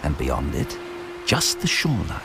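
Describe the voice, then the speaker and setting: An actor playing a brooding male narrator, giving a measured, atmospheric reading of an old ghost story in a radio drama. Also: extremely low pitch, huge pitch swings, over music, heavily acted.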